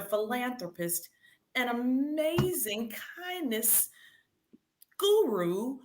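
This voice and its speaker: A woman hosting a live read-aloud quiz for children in an animated, encouraging way, calling out to participants and repeating questions. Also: on the high side.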